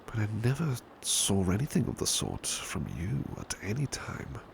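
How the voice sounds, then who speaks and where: A man playing a devoted, gentle British butler in an ASMR roleplay, speaking softly and breathily, often in a whisper, with tender deference. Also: fairly low pitch, sing-song, moderate pace, exaggerated voice, low-quality recording. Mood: bashful, grateful. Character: devoted, gentle male butler soothing a sleepy master. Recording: clear background ambience noise, rain. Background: rain ambience.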